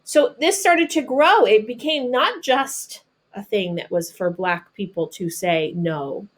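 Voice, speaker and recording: A woman with a mid-pitched voice reads a children's book aloud. She delivers the text deliberately and breaks off into plain, simple explanations, as if speaking to young listeners. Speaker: storybook reader.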